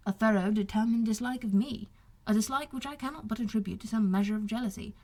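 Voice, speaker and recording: Woman reading as husky, aggrieved young gentleman officer. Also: fairly high pitch, fast. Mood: resigned, bitter. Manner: formal, earnest, confiding. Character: wronged young gentleman officer, smooth-talking, self-pitying. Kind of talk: audiobook character dialogue.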